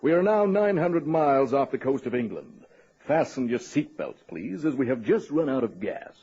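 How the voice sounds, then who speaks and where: An actor playing a wry, self-pitying man of about thirty in a radio comedy-drama, with a husky voice and hesitant, sardonic delivery. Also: mid-range voice, sing-song, normal speed, warm tone. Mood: bitter, sardonic. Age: 30s. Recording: dull lo-fi old radio recording.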